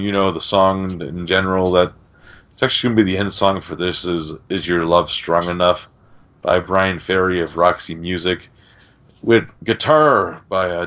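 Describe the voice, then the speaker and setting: A man's voice: low-pitched, a bit bright. A man co-hosting a podcast, discussing film casually in a rambling, sweary, conversational way. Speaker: podcast co-host. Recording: dull, lo-fi podcast audio.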